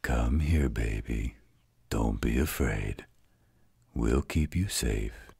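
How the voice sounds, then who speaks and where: Very deep, husky demon father. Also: slow pace, darkish tone, no echo, clean studio sound, exaggerated voice. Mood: tender, soothing. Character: gruff, protective male demon father in a fantasy werewolf tale. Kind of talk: fantasy audio roleplay acting.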